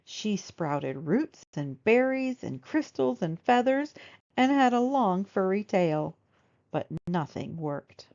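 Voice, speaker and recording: A woman narrating a children's storybook in an expressive read-aloud style. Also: mid-range voice, slow, highs cut off. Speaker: storybook reader.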